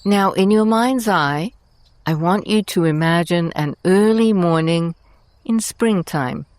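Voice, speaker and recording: A woman host narrating a scripted guided meditation in a soothing, deliberate manner, leaving long pauses between short phrases. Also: on the low side, darkish tone, dry recording.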